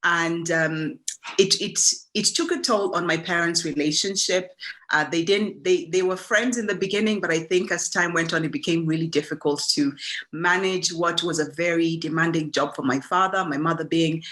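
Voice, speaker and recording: A woman's voice: fairly low pitch, steady tone, moderate pace. A woman from Kenya, giving a reflective personal talk as a guest speaker, often restarting her sentences. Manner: warm, reflective, with frequent restarts and fillers.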